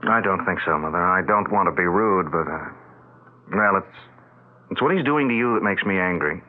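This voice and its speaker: An actor playing a skeptical, protective adult son in a 1970s radio drama, questioning and blunt. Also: male voice, low-pitched, expressive, normal speed. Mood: indignant, urging. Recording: tinny, phone-like vintage radio broadcast.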